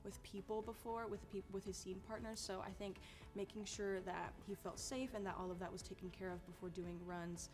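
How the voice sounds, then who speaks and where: A woman's voice: mid-range voice, little pitch movement, a little fast. A young woman, a student actor, talking casually in an interview. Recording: music under the voice.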